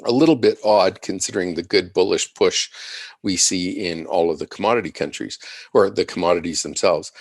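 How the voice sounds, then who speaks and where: A man giving unscripted analyst commentary on charts, measured and explanatory, thinking aloud as he presents. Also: deep voice, no room sound. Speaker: financial market analyst presenting.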